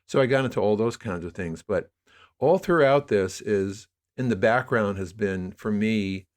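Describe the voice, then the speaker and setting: Low-voiced older American man, reflective. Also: normal speed. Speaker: interview guest, media producer. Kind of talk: reflective interview talk.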